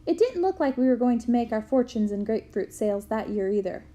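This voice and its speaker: Mellow woman reading a book aloud. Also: a little high, animated, brisk. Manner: clear storytelling, bursts into loud shouts for quoted yells.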